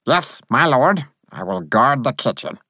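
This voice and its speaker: A man's voice: mid-range voice, big pitch swings, unhurried, bright, forceful tone, dry recording, studio quality, pitched above the performer's own voice, full character voice. A man voicing a tiny, valiant fairy soldier who makes formal, grandiose declarations with earnest pomp, in a storybook reading. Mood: proud, dutiful. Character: tiny winged male fairy soldier, pompous, excitable, valiant.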